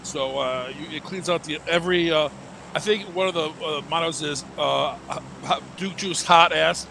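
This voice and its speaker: A man's voice: a little high, normal speed, vocal fry. A man commentating a live esports match, sick with a stuffed nose that leaves him sounding nasal, rambling and joking with lots of fillers. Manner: rambling and jokey, many fillers and restarts. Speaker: esports caster. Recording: steady game noise behind the voice.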